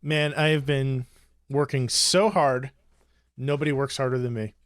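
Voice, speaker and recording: Man, middle pitch, casual podcast co-host, close dry sound. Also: flat delivery, a little slow, dry recording. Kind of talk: casual chat.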